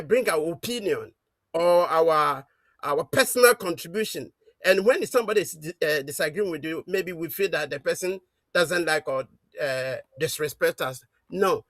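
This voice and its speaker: A man calling in to an online talk show, giving a preaching-style message with many pauses and restarts. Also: high voice, animated, a bit bright, dry recording. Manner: halting, with many fillers and restarts. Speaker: caller. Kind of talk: preaching-style call-in remarks.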